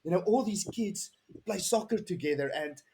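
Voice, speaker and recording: A man's voice: high-pitched, expressive, normal speed, creaky, darkish tone, slight background noise. A man in his thirties with a South African accent, a podcast guest telling his story in an earnest, rambling way with many restarts. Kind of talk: casual interview chat.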